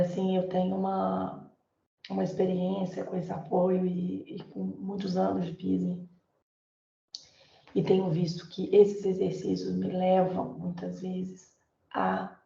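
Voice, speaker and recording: A Brazilian woman lecturing an online class, her voice hoarse and gravelly from illness. She talks in a loose, chatty way with plenty of fillers and tag questions. The sound is echoey and somewhat dull. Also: mid-range voice, mellow, a little hiss or hum. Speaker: Portuguese teacher.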